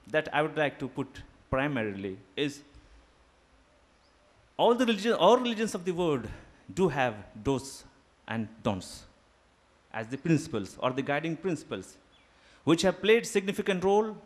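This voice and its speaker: Man, Urdu native, formal lecture, academic and deliberate, slightly high voice. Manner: deliberate, academic, pauses with fillers. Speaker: guest lecturer. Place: seminar hall.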